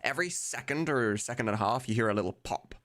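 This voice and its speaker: A man's voice: medium pitch, animated, fast, fairly bright tone. A man chatting casually on a live stream in an informal, sweary way, thinking aloud as he goes. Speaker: streamer and voice mod creator. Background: video game music.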